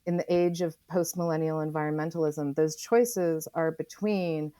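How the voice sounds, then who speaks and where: Low-voiced American woman, dry close sound. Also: fairly even intonation, normal speed, dark tone, no room sound. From Oregon, United States. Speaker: interview guest, author and geologist. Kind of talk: reflective conversational interview explanation.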